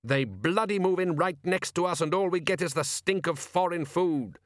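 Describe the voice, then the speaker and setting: A man's voice: mid-range voice, measured pace, a bit bright, no echo, clean studio sound, close to an everyday voice. A man voicing a stubborn, indignant working-class fisherman father who grumbles and blusters in an audiobook story. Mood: indignant, blustering. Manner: blustering, defensive, colloquial.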